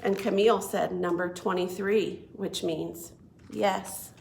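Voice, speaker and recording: A woman reading a picture book aloud to young children as a children's librarian, expressive and deliberate. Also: mid-range voice, creaky, slight background noise. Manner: expressive, deliberate, asks listeners questions. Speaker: children's librarian and storytime reader.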